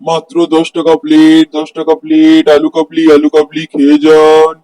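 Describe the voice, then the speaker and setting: Man acting a higher-pitched eager street vendor husband. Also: monotone, normal speed, dark tone, no room sound, natural voice, poor audio. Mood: hawking. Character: greedy, cheerful married street-food vendor man. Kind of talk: Bengali cartoon story voice acting.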